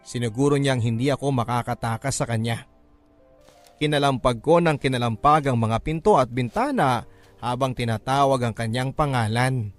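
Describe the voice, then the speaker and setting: Male narrator, Filipino accent, mid-pitched voice, emotive radio-drama storytelling with measured delivery, music underneath. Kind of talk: dramatic scripted first-person narration in classic radio drama manner. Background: background music.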